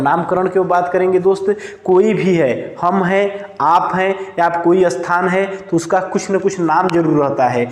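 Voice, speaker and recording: A male teacher lecturing and dictating notes, asking questions and answering them himself, slowing down to spell out each word as he writes. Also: a little high. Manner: asks and answers his own questions, slows down to dictate words while writing. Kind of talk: classroom lecture with note dictation. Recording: echoey room with long reverb.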